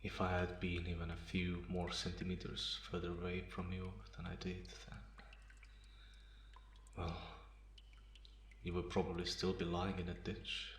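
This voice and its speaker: A man playing a calm, teasing half-vampire in an ASMR roleplay, pausing often and sounding softly amused and restrained. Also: deep voice. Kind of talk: slow ASMR roleplay monologue. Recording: roomy echo, faint background noise.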